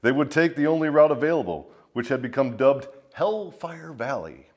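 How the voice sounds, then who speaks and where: A man's voice: medium pitch, big pitch swings, moderate pace, darkish tone. A man hosting a military storytelling show, delivering dramatic scripted tales with crude, swaggering, profane humour. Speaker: host of a military storytelling show, a first sergeant.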